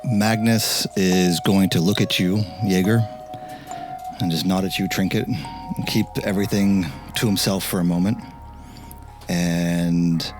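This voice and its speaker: Low-voiced man playing a tabletop game. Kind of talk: narrating his character's actions in roleplay.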